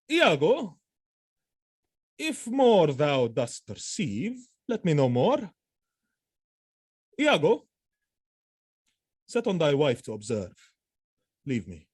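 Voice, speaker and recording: An actor playing a proud military husband troubled by jealousy, speaking Shakespearean verse emphatically and haltingly in an audio drama. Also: male voice, a little high, huge pitch swings, husky, no room sound, studio quality, full character voice. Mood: shaken, brooding.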